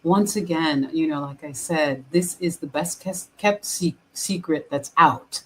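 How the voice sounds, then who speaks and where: A woman with a low voice talks as a guest minister in a casual interview. She is earnest and halting, full of fillers and restarts. Faint noise sits under the recording. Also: poor audio. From Haiti. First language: French.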